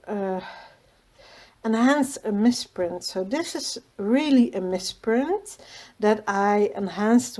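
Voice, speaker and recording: Slow, mellow Dutch-accented woman. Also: mid-range voice. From Netherlands. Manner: hesitant, many pauses and fillers, quick small laughs. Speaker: craft tutorial host. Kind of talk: casual crafting tutorial.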